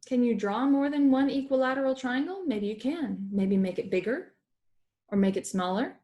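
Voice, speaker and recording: A woman's voice: a little high, normal speed, warm tone, dull audio. A young adult woman teacher reading a math lesson aloud to children, recorded at a computer indoors.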